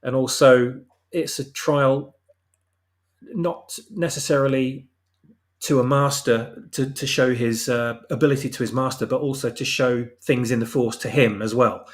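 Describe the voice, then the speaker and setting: A man's voice: mid-range voice, steady tone, a little slow. A man talking casually as an interview guest, explaining his own story and rephrasing as he goes. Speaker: guest, audio drama writer.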